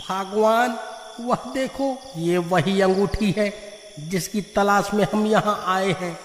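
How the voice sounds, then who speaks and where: Very high, excitable village man, acted by a man. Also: slow pace. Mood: worried, scheming. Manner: loud calling, exclaiming, theatrical. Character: excitable, accusing, cowardly village man Raju. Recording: echoey reverberant sound, faint noise.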